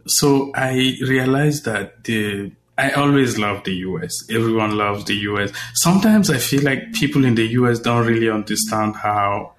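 A man's voice: mid-range voice, normal speed, hardly any pauses, a little hiss or hum. A man from Cameroon, a guest journalist, talking in an interview with many fillers and restarts. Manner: hesitant, many fillers and repeated words. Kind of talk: conversational interview.

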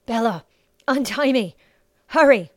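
Audiobook lead: tough, battered fighting woman, woman acting, firm, protective, crisp tone, dry sound. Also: mid-range voice, unhurried, a little mellow, dry recording, natural voice. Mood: urgent.

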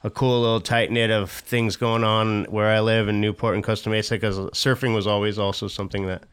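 Former skater guest, middle-aged man, resonant lowish voice, loose rambling storyteller. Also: level tone, a little fast, fairly bright tone, clean tone. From Southern California. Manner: rambling, laid-back, swears, many fillers and laughs. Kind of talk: casual interview chat.

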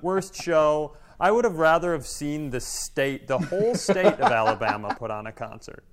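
A man's voice: a little high, animated, moderate pace, a loud crowd. Sardonic man bantering on a podcast. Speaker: comedy podcast host. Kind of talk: casual comic banter.

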